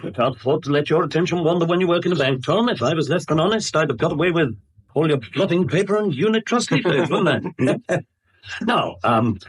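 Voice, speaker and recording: An actor playing a jovial, wily British bank customer in a radio drama, with droll, chatty, wheedling banter. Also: male voice, fairly high pitch, animated, fairly quick, lightly acted, low-quality recording. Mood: droll, teasing. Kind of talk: 1970s radio drama acting.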